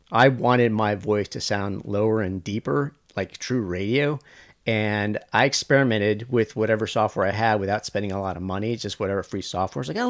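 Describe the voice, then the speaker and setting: A man co-hosting a podcast, chatting casually and rambling with lots of fillers. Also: on the low side. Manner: rambling, with many fillers and restarts. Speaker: podcast co-host.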